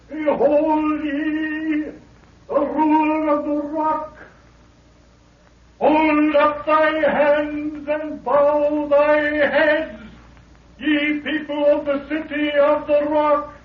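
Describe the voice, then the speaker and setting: A man's voice: extremely high pitch, level tone, very slow, heavily acted. A man acting a solemn herald in a 1930s radio adventure, declaiming archaic proclamations to a lost city. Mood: solemn, proclaiming. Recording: distant, echoey, muffled old radio recording.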